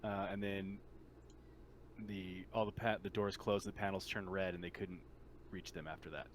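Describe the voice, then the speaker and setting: A man running a tabletop game as game master, narrating casually and haltingly. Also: low voice, level tone, slight background noise.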